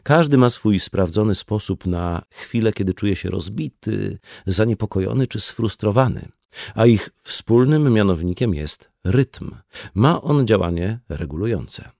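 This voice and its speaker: A man's voice: on the low side, animated, unhurried, vocal fry, a little mellow, no room sound, clean studio sound. A man reading a conversational non-fiction audiobook as scripted narration. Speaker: audiobook reader.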